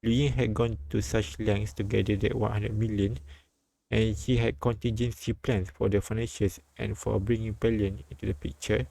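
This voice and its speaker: A man reading a story and its dialogue aloud as a live streamer, often halting and repeating phrases. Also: lowish voice, little pitch movement, gravelly, dark tone, no echo, rough recording. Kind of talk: read-aloud narration and dialogue translation with side comments.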